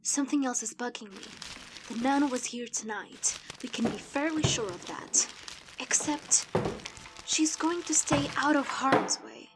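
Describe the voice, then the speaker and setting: A woman's voice: sits high, unhurried. A woman playing a wary, sceptical resistance fighter in an audio drama, terse and practical. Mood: pensive, sceptical. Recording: loud noisy sound effects behind.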